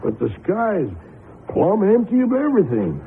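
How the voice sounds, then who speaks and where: A tinny, noisy old radio recording carries a middle-aged American country husband. His voice is creaky and very animated, with a folksy, hesitant, kindly way of reasoning in radio-play style. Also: sits high, normal speed, full character voice. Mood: wary, puzzled. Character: plain-spoken, cautious, kindly middle-aged rural husband. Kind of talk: radio drama acting. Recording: muffled lo-fi radio broadcast with noise.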